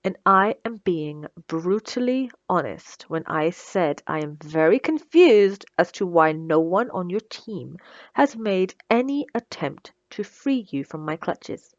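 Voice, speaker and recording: A woman's voice: fairly low pitch, very animated, slow, dry recording, muffled recording. A woman playing a smug, sarcastic female supervillain who taunts her captive with theatrical condescension in an ASMR roleplay. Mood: puzzled, probing. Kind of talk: ASMR roleplay audio drama.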